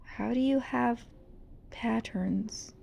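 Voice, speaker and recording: A woman's voice: a little high, drawn-out words, vocal fry, darkish tone, husky, exaggerated voice. Lady reader doing hurt young pop singer girl, shaken and urgent, storybook voice. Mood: shocked.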